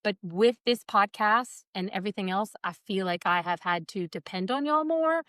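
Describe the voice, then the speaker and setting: Sex: woman. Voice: nasal, mid-pitched. Role: podcast co-host. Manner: chatty, self-mocking. Sound: dry, close. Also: moderate pace, fairly bright tone, smooth, no room sound. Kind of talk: casual podcast chat.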